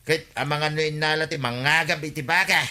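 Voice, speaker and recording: Anxious village man who lost his cow, ilocano radio drama, very bright highish voice. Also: fairly quick.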